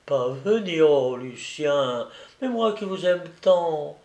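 A man reading aloud, voicing a gentle young woman who speaks tenderly through grief. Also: fairly high pitch, lively intonation, slow. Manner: tender, pleading. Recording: echoey room.

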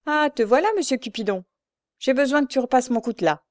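A woman's voice: high voice, lively intonation, a little fast, no room sound, clean studio sound, pitched above the performer's own voice. A woman voicing a lazy, bullying elder son in a story, with curt, mocking, slangy speech.

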